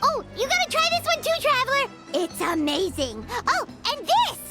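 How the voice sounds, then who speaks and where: A woman voicing a small, excitable, dramatic childlike fairy companion in an animated video game. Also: very high voice, moderate pace, soft background music, exaggerated voice. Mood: delighted, eager. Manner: refers to self in third person, exclamatory.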